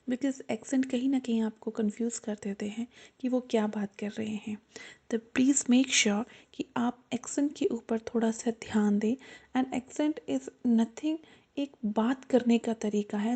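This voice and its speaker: A woman tutor giving an explanatory lesson to students, earnest and repeating her reminders, slowing down to spell out the key points. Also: on the high side, mellow, slightly rough. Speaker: exam-prep tutor.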